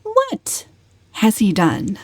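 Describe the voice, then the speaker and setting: A woman's voice: medium pitch, huge pitch swings, very slow, darkish tone, slightly rough, dry recording, voice pushed up, exaggerated voice. A woman voicing a defiant, coolly impudent young woman in a storybook, with pointed delivery. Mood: insistent. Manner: pointed, insistent.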